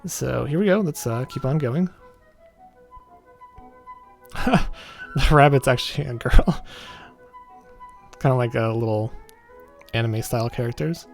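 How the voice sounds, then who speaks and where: Male gaming host, medium pitch, informal read-aloud with off-the-cuff comments and small stumbles, clear music bed. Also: slow pace, long pauses. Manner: informal, stumbles over text and rereads. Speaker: gaming video host reading a visual novel aloud. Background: background music.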